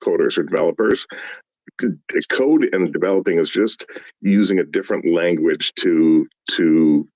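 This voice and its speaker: Deep-voiced man debating thoughtfully. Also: moderate pace, a little mellow, dry recording, poor audio. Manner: thoughtful, seeks agreement with tag questions. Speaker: debate panelist. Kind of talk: casual debate.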